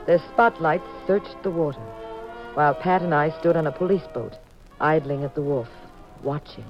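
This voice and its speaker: Wry detective's wife, curious, squeamish, 1950s radio mystery, muffled sound, music behind. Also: female voice, low-pitched, moderate pace. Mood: wry, suspenseful.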